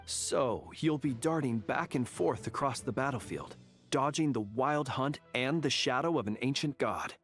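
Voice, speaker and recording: An actor playing a composed, formal male soldier in a video game, speaking with measured delivery and a husky voice. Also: medium pitch, lively intonation, faint music behind. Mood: composed, dry.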